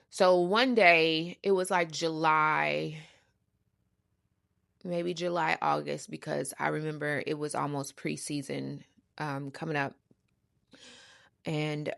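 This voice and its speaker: A woman hosting a casual storytime, with a lowish, gravelly voice recorded close and dry. She is sassy and emphatic, repeating words to drive a point home and talking in loose slang. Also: fairly even intonation, takes it slowly, long pauses, a bit bright, dry recording. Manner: uses slang and swearing. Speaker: storytime podcast host.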